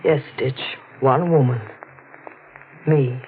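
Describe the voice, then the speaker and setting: A woman's voice: extremely low pitch, fairly even intonation, very slow, a little hiss or hum, full character voice. An actress playing an intense, lovesick young woman in a 1940s radio crime drama, earnest and melodramatic. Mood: grave, confessing. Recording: muffled old radio recording, faint music.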